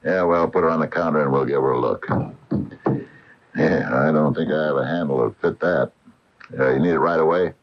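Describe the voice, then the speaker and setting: An actor playing a gruff, folksy American hardware store owner, wary and emphatic, in a radio drama. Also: male voice, rumbling low, a little fast, long pauses. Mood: brisk, friendly. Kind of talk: 1980 radio drama acting. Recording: dull lo-fi 1980 radio broadcast.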